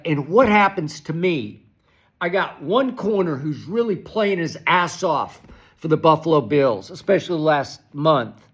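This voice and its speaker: An older man hosts a solo sports talk segment, his voice hoarse and worn from shouting. He rants with exasperation, sitting a little high in pitch, and trips over his words, repeating them as he pushes on. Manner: exasperated, ranting, halting restarts. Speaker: sports talk show host. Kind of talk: animated solo sports commentary.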